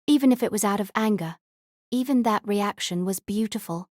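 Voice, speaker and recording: A woman's voice: mid-range voice, normal speed, darkish tone, dry recording. Woman narrating a novel, dry studio sound. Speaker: audiobook narrator.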